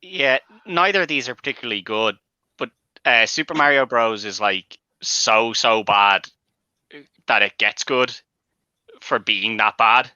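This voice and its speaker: A man's voice: mid-range voice, unhurried, pushed and bright, no room sound. A man giving casual opinions as a guest on an online panel discussion. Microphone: online group call. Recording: dull online-call audio.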